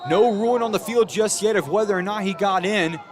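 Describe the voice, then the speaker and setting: A man's voice: high voice, a little fast, a crowd in the background, noisy background. A young adult American man giving live play-by-play commentary as a football announcer.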